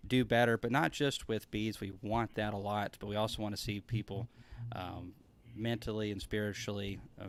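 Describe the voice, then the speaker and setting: Low-voiced, folksy man. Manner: joking, folksy. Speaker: conference speaker, livestream guest. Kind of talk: casual chat.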